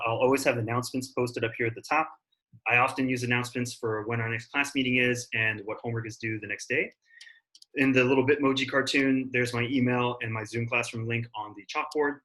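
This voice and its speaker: A man's voice: medium pitch, sharp tone. An American man in his thirties teaching an online class, talking in a casual, friendly way with plenty of fillers. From Africa and Italy.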